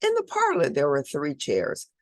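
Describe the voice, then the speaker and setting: A woman's voice: low-pitched, wildly swooping pitch, moderate pace, no room sound, clean studio sound. A woman reading a picture book aloud to children, expressively, with dramatic emphasis and chatty asides. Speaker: children's storybook reader.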